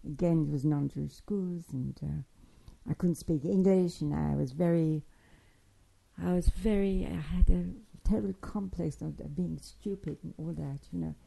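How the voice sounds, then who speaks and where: An older woman telling her life story in a halting, digressive way, with frequent restarts and fillers. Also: sits low, gravelly, mellow, slightly rough, rough recording. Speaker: interviewee recounting childhood. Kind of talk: spoken memoir, oral history.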